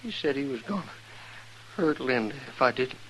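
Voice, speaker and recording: An actor playing a mortally wounded, remorseful American outlaw, speaking weakly and haltingly in a 1950s radio western. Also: male voice, mid-range voice, animated, fairly bright tone, close to an everyday voice, rough recording. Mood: pained, weary. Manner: halting, folksy. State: weak, dying. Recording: vintage radio recording with faint noise.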